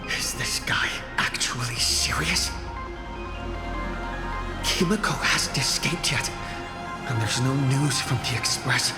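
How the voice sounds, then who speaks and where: Casting: male actor for young game protagonist, earnest, wary, a little cheeky; unhurried mid-range voice in deep reverb. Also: lightly acted. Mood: wary, inner thought. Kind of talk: video game voice acting. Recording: long reverb with music underneath. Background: music.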